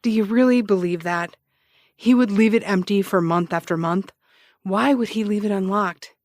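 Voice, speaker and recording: An actress playing a brash, dogged ex-cop turned private detective in an audio drama, with a wry, insistent, teasing way of talking. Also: female voice, medium pitch, normal speed, no room sound, clean studio sound, close to an everyday voice. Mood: pressing. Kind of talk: naturalistic audio drama dialogue.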